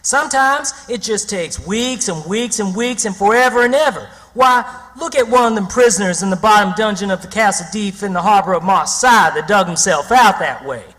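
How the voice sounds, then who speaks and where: A man's voice: high-pitched, brisk, hardly any pauses, fairly bright tone. An actor playing a cocky, scheming boy adventurer with a rural Southern American accent, explaining things emphatically in radio theatre style. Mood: stubborn, lecturing. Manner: emphatic, know-it-all explaining. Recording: long reverberant hall sound.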